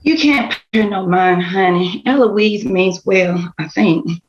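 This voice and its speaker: A woman's voice: medium pitch, takes it slowly, natural voice. A woman playing a flirtatious, needy, melodramatic mother in a screenplay table read. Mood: soothing, weary. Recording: dull lo-fi sound.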